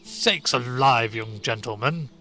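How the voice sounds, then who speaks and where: A man voicing an old, superstitious country shepherd who talks in broad rustic dialect, louder and slightly higher than his own voice. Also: moderate pace, natural voice. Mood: grave warning.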